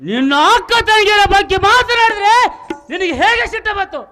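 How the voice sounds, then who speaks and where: Casting: poor, protective young brother, furious and defiant, very high declaiming stage voice over loud music. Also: male voice, expressive, moderate pace. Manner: high-pitched shouting, oratorical delivery. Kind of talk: loud, declamatory folk theatre acting. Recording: live stage play recording with loud music.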